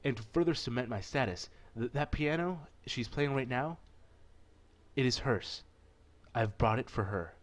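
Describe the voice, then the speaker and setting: A man voicing a rich, generous gentleman in a visual novel, with a formal and self-assured manner. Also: medium pitch, moderate pace, close to an everyday voice. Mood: confident, teasing. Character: rich, suave young gentleman patron, generous and teasing.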